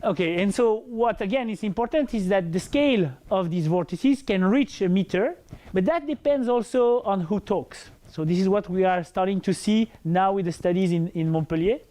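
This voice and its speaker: A man's voice: high voice, normal speed, dark tone. A man with a French accent and a big, full voice gives an academic lecture. He speaks hesitantly, with many fillers and restarts. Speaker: researcher giving a lecture.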